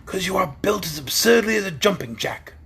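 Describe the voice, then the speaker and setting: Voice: medium pitch, lively intonation, a little fast, a bit bright, husky, voice pushed up. A storybook reader voicing a grumpy wooden sawhorse who mutters insults in a sneering way.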